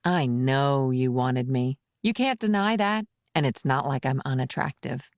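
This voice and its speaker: A man's voice: mid-range voice, animated, a little slow, creaky, no room sound, studio quality. A man voicing a menacing, taunting female agent in an audiobook thriller. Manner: taunting, threatening. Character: cold menacing woman agent with gun. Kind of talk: audiobook narration.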